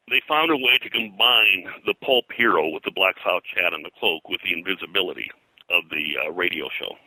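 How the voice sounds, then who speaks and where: Older male interview guest, low forceful voice, rambling anecdotal historian. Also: no room sound, rough recording. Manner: rambling, digressive, hesitant.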